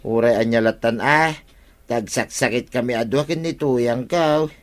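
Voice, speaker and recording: An actor with an Ilocano accent playing a needy, naive villager who complains plaintively in a radio comedy drama. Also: male voice, mid-range voice, a little slow, close to an everyday voice. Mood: pleading.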